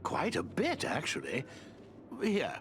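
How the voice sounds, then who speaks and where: Whimsical old wizard, swooping pitch. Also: male voice, huge pitch swings, slow pace, fairly bright tone, a little hiss or hum, exaggerated voice. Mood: gentle, amused. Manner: chirpy, meandering, swooping. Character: whimsical, kindly old wizard who talks with birds. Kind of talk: video game cutscene acting.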